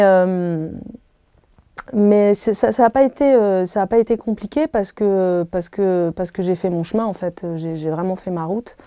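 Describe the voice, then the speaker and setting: Soft-spoken woman with a warm voice. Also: mid-range voice, dry recording. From Algeria. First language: Arabic. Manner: thoughtful, many hesitations and restarts. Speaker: singer being interviewed. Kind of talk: reflective interview answers. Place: hotel room.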